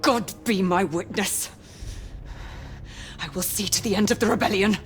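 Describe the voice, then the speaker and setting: A woman's voice: mid-range voice, a little slow, lightly acted. A woman playing a resolute, vengeful noble lady knight, imperious and formal, in a cinematic video game. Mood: grim vow. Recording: music behind the voice.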